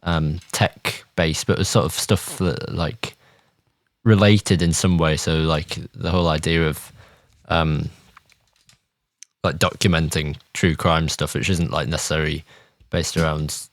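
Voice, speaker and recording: Very deep-voiced man reviewing, hesitant. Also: fairly even intonation, a little slow, dry recording. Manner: hesitant, searching for words. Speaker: podcast host reviewing TV. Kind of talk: casual chat review.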